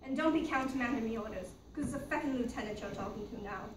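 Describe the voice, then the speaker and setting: A woman's voice: a little high, quick pace, natural voice. A woman playing a blunt, fierce young Irish woman. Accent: put-on Irish. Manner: blunt, bossy. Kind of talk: amateur play reading. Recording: distant roomy recording with background noise.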